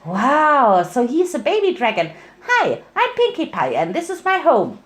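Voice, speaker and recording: A woman's voice: high voice, huge pitch swings, a little slow, voice pushed up, full character voice. A woman voicing a hyperactive, cheerful and eccentric young cartoon pony in a bubbly, exclaiming manner. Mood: bubbly, eager.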